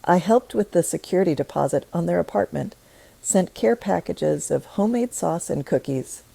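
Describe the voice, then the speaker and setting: Low gravelly woman narrating a story. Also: animated, dark tone. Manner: measured, reflective storytelling. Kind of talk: scripted first-person story narration.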